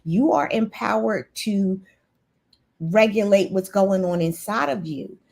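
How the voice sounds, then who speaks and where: A woman guest with a husky, slightly breathy voice. She talks in an earnest, motivational way at a middle pitch. She often restarts her words mid-thought. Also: expressive, takes it slowly, a little mellow. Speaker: guest, nurse CEO. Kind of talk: casual interview chat.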